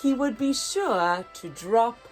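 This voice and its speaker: Casting: female children's narrator, high-pitched, unhurried, expressive sing-song read-aloud, light music underneath. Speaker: children's storyteller.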